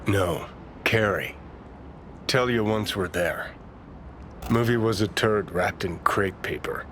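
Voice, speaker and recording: An actor playing a cynical, brash rebel in a cyberpunk game, with clipped, sardonic delivery over background ambience. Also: male voice, fairly low pitch, slow pace. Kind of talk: video game dramatic dialogue acting. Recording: noisy ambience behind the dialogue.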